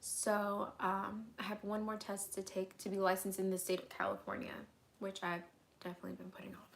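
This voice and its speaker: A young woman, hoarse and getting over an illness, chatting candidly through a live Q&A at home, answering viewer comments with lots of "um"s and "like"s. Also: mid-range voice, completely flat delivery, brisk. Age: young adult. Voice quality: raspy. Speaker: vlogger hosting a live Q&A. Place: at home, indoors.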